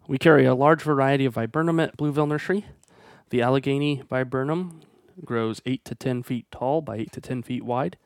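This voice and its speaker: A man with a stuffed-up voice from a cold hosts a nursery's weekly plant feature. He reads his notes in a steady, informative way at an ordinary middle pitch. The sound is dry, with no room echo. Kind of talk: reading plant guide notes.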